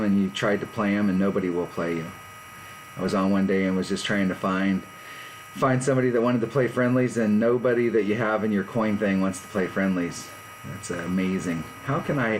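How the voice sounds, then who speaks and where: A man in his fifties rambles in a relaxed, self-deprecating way while streaming from his garage. His voice sits a bit low. A faint hum runs underneath. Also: poor audio. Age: 50s. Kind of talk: casual live-stream chat. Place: home garage.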